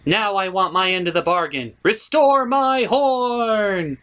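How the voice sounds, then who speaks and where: A man's voice: high voice, slow, bright, forceful tone, smooth, exaggerated voice. A man voicing a proud, menacing villainess puppet with theatrical, emphatic declarations in a comedy parody. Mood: demanding.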